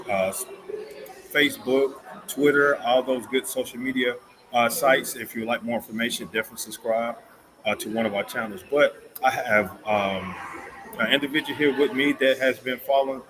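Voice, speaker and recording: A man hosting an interview, slightly hoarse, upbeat and stumbling over words. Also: mid-range voice, noisy background. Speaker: host.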